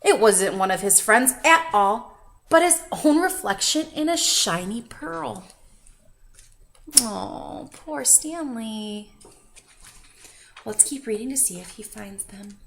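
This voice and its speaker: A woman reading a children's picture book aloud expressively, with questioning asides to young listeners and drawn-out emphasis. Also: mid-range voice, a little hiss or hum. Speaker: children's story reader.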